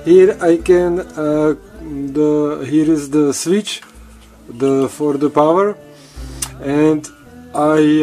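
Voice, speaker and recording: A man who is not a native English speaker walks through his drill press in a home woodshop, in halting English full of fillers and restarts. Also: on the high side, dark tone. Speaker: woodworking hobbyist showing his drill press. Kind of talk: casual workshop walkthrough.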